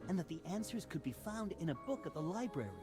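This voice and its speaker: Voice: medium pitch, expressive, fast, natural voice. A voice actor playing an anxious student in a game cutscene, explaining in an urgent, defensive way. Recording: game cutscene over music.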